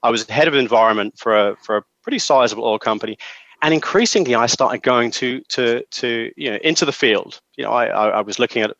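A man's voice: mid-range voice, brisk, vocal fry, no room sound. A man in his forties with a London accent, heard over a dry, lo-fi line. He recounts his career as a podcast guest, stammering and restarting his sentences. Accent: London English. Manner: stammering restarts, animated storytelling. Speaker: guest, sustainability executive. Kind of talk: interview answer.

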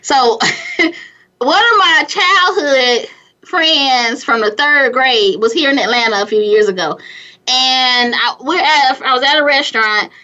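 Squeaky high-voiced chatty woman. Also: unhurried, lo-fi sound. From California. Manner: chatty, energetic, laughs often, many restarts. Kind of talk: casual motivational chat.

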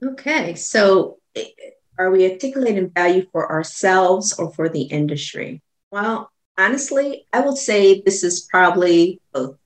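A woman's voice: mid-range voice, animated, measured pace. A woman guest chatting casually in an interview, filling her talk with ums and restarts. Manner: chatty, many fillers and restarts. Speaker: guest, business continuity consultant.

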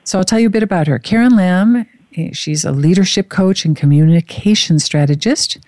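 A woman's voice: lowish voice, fairly quick, darkish tone, dry recording, clean studio sound. A woman hosting an interview show, talking in an effusive, gushing way as she introduces and chats with her guest. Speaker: show host.

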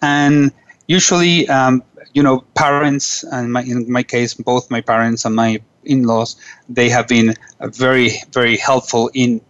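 A man's voice: medium pitch, fairly even intonation, measured pace, a bit bright, no room sound, lo-fi sound. Earnest Venezuelan-born male radio host. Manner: earnest, formal, with frequent restarts. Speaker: radio show host and business coach. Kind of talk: scripted radio monologue. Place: radio studio.

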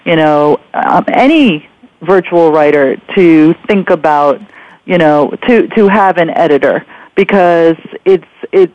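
High-voiced male host, dull lo-fi sound. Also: darkish tone, no echo. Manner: effusive, hesitant phrasing. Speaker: podcast host, interviewer. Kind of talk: casual interview hosting.

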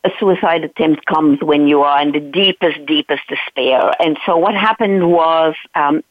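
A woman's voice: low voice, moderate pace, no echo. Tinny, earnest South African woman. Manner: earnest, animated retelling with frequent "right?" asides. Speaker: guest. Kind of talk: personal storytelling in a podcast interview. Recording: dry, tinny phone-like line.

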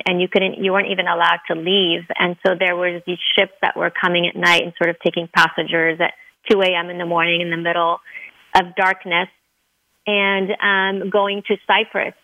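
A woman's voice: fairly low pitch, gravelly, pushed and bright, no echo, low-quality recording. A middle-aged woman from Lebanon, Arabic her first language, telling her story earnestly as an interview guest. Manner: earnest storytelling, many fillers. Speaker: guest, dentist. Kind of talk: interview conversation.

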